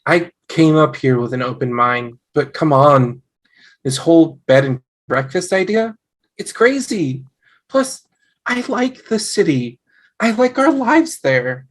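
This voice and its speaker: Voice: medium pitch, expressive, slow pace. Someone playing a skeptical, frustrated young husband in a conversational table read over a video call. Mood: exasperated.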